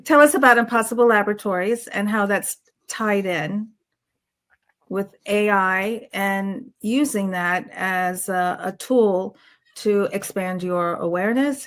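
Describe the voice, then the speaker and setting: A woman hosting a conversational interview, framing her questions in unhurried phrases with pauses. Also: mid-range voice. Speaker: interview show host.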